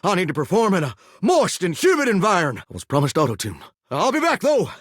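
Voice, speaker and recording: An actor playing a vain, folksy singing shower in a video game, with a theatrical Southern drawl and country sayings. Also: male voice, high voice, huge pitch swings, quick pace, a bit bright, no room sound, studio quality, full character voice. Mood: defensive, determined. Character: flamboyant, folksy singing shower, vain would-be showbiz star. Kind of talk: animated video game character acting with bursts of song.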